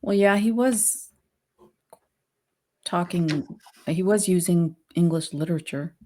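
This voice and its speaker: A woman's voice: lowish voice, takes it slowly, warm tone. A woman co-hosting a casual trial discussion in a conversational, tentative way. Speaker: co-host.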